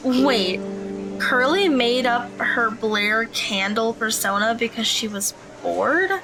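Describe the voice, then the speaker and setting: Woman playing a high-voiced, reserved teenage girl. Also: takes it slowly, pushed and bright, soft background music, exaggerated voice, poor audio. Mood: earnest, curious. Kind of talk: audio drama dialogue.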